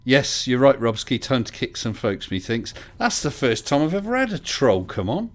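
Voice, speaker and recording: A man's voice: mid-range voice, huge pitch swings, moderate pace. A man hosting a casual livestream call-in chat, bringing callers in and answering them with dry, wry humour. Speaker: livestream host.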